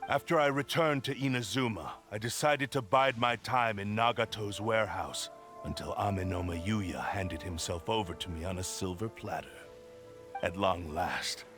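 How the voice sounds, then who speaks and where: An actor voicing a ruthless spirit that possesses men's bodies, speaking in grandiose, contemptuous declarations for a video game. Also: male voice, fairly low pitch. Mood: zealous. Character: ruthless male spirit possessing hosts, proud swordsman.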